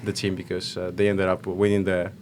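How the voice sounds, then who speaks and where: A man's voice: deep voice, monotone, fairly quick. A man in his twenties from Ecuador chatting casually as a podcast guest, playful and emphatic. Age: 20s. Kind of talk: casual chat.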